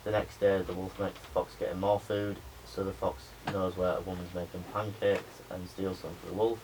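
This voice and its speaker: A young adult man, a media student giving a spoken pitch presentation to a class. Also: flat delivery, moderate pace. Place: indoor room with a small audience. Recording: noisy room recording.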